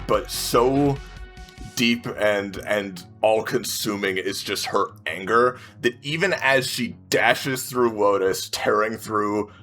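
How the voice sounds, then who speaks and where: Bright, low-voiced man playing a tabletop game. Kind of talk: narrating character combat actions. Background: music.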